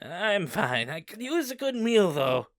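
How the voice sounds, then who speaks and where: A man's voice: high voice, big pitch swings, a little slow, a bit bright, dry recording, studio quality, heavily acted. A man playing a scrappy, sly convict in a fantasy audio drama, with colloquial, flippant speech. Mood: flippant, weary. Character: scrappy, cheeky male convict, sly schemer. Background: music.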